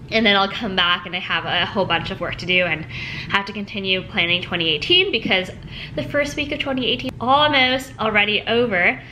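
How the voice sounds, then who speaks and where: A woman's voice: medium pitch, pushed and bright, slight background noise, rough recording. A young woman vlogging in casual, rambling chat, her voice worn and her nose stuffy as she loses her voice. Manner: chatty, rambling, peppered with "like". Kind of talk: casual daily vlog chat. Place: at home, then at a restaurant.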